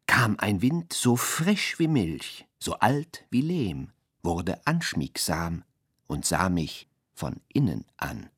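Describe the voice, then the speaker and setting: Woman reading poems for a radio play, deep husky voice, super slow and drawn out. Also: big pitch swings. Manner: drawn-out, deliberate phrasing. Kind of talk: literary recitation of collage poetry.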